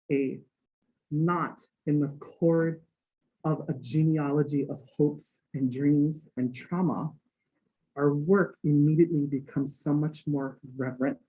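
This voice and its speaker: A man from Hawaii lecturing as a guest speaker, earnest and rhythmic, now and then dropping a playful aside. His voice sits a little high for a man yet sounds mellow and warm rather than bright. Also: low-quality recording. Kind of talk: academic talk.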